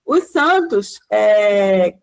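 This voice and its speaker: A woman's voice: medium pitch, very animated, drawn-out words, gravelly, a little mellow. A woman with a Pernambuco Brazilian Portuguese accent gives an informal lecture, chatty and conversational, full of tag questions and self-corrections. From Caruaru, Pernambuco, Brazil. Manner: chatty, many "né" tags and restarts.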